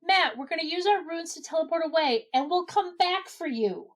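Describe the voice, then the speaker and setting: A woman playing a brisk, wry gnome adventurer in a comedy audio drama. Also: very high voice, expressive.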